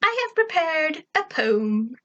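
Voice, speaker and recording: A woman voicing a perky, showy young schoolgirl with a high-pitched voice in an amateur story reading. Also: very animated, slow pace, pushed and bright, dull audio, exaggerated voice. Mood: pointed.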